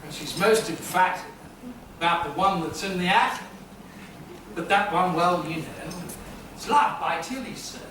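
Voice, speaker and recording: A man's voice: high-pitched, moderate pace, long pauses, bright, forceful tone, slight background noise. A man playing a scheming, cowardly young hustler under his mother's thumb, talking theatrically. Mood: fretful. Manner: theatrical, fretful, sings comic patter. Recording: echoey room from far off, music.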